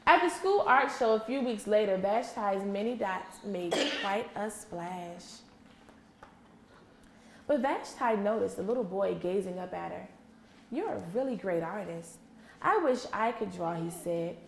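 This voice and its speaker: A woman's voice: medium pitch, expressive, a little slow. A woman teacher doing an interactive read-aloud for young children, patient and encouraging, prompting them with questions and repeating answers. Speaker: preschool teacher. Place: indoor classroom with children. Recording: echoey room with faint background noise.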